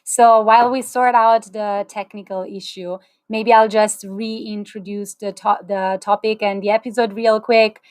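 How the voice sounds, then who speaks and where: A woman's voice: medium pitch, fairly even intonation, a little slow, hardly any pauses, no echo. A woman in her thirties hosts an online talk over a video call, upbeat and chatty. Her voice sits mid-range, recorded dry and close in a small room. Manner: warm, upbeat, with fillers and small restarts. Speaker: host. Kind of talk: livestream webinar hosting. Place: small indoor room, plain wall.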